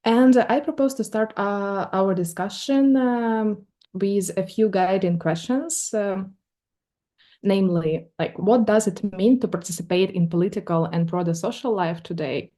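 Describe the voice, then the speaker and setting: A woman's voice: medium pitch, unhurried, warm tone. A woman moderating a panel, introducing the speakers in a hesitant way with many fillers.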